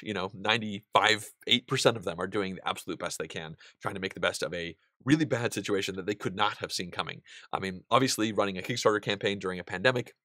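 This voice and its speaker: A man in his thirties hosting a board game channel, seated at a desk in a small studio and answering viewer questions in a relaxed, chatty way with plenty of ums and restarts. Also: fairly low pitch, no room sound. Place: indoor studio room with a wall of game pieces.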